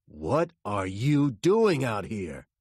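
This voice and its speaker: A man's voice: fairly low pitch, huge pitch swings, drawn-out words, darkish tone, slightly rough, dry recording, studio quality, full character voice. A man voicing a stern police detective in an audiobook, terse and commanding. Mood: stern, wary.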